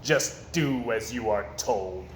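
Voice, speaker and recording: Storybook circus owner, played by a man: bossy, selfish, loud and barking, in an echoey room. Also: on the low side, slow pace, voice pushed down. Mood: outraged, commanding. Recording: roomy echo, faint background noise.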